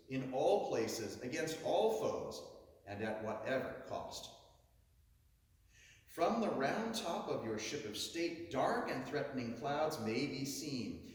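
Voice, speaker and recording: Booming orator in an echoing hall. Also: male voice, darkish tone. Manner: booming, dramatic swells and drawn-out stresses. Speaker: orator reading a historic speech. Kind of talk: declamatory oration reading. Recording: echoey hall, heard from far off.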